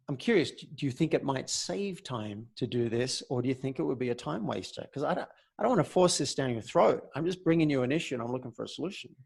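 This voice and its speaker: A man speaking as a coach in a conversational roleplay, measured and deliberate, often pausing mid-phrase. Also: medium pitch, vocal fry, a little mellow, slightly rough. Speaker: host, communication coach.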